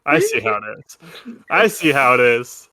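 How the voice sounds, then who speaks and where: A man's voice: mid-range voice, big pitch swings, moderate pace, pushed and bright, dry recording, low-quality recording. A man acting as game master, narrating casually and explaining the rules in informal asides. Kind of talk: improvised narration of a tabletop game, casual table talk. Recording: music under the voice. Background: background music.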